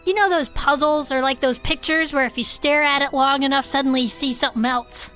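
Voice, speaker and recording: A small, high-voiced creature played by a woman, chatty and folksy. It bantering as a podcast co-host, matter-of-fact and comic, with light music underneath. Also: fairly even intonation, fairly quick, fairly bright tone. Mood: matter-of-fact, amused. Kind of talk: comedic improvised in-character banter.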